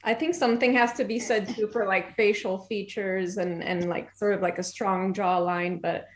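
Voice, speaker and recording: A woman's voice: mid-range voice, fairly even intonation, normal speed. A woman in her twenties, born in Germany and raised in France and Brazil, chatting as a podcast guest and laughing easily. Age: 20s. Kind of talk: casual interview chat.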